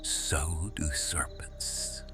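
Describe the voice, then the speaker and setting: A man playing a quiet, watchful serpent shifter guardian in an intimate ASMR roleplay, hushed and deliberate, often slipping into whispers. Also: extremely low pitch, music in the background, full character voice. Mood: hushed, brooding.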